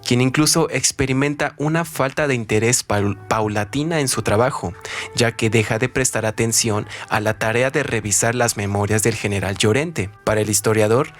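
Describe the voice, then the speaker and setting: Guy narrating literary analysis, formal scripted read, medium pitch, music behind him. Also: moderate pace.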